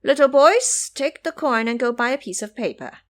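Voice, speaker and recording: A mischievous monkey trickster, played by a woman, talking with lively swings of pitch. He is confident and teasing, smoothly persuading his listeners in a fantasy audio drama. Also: normal speed.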